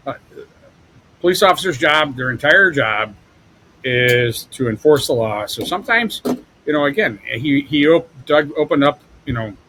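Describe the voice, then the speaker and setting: Lively, higher-voiced host in his forties. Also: slight background noise, rough recording. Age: 40s. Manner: addresses listeners directly, restarts often. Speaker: podcast host. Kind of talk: casual interview talk.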